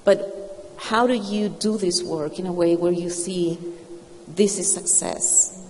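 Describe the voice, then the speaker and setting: A middle-aged woman moderating a panel discussion, asking unhurried, thoughtful questions. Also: on the low side, darkish tone. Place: event hall with audience. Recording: reverberant hall with faint ambience.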